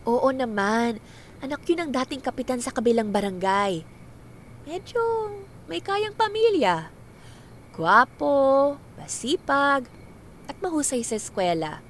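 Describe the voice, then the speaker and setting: A woman's voice: sits high, very animated, unhurried, long pauses, a little hiss or hum. A woman acting a caring, reassuring middle-aged family friend in a Filipino radio drama. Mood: chatty. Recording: background music under the voice.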